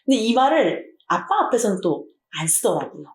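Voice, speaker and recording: A woman teacher from Jeju with a Jeju Korean accent, telling an anecdote in a chatty, animated way. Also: fairly high pitch, brisk, echoey room.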